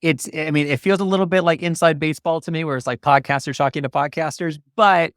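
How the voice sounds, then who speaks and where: A man's voice: on the high side, steady tone, quick pace, a bit bright, smooth, no room sound, clean studio sound. A man hosting an interview in an upbeat, effusive and encouraging conversational way. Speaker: podcast host.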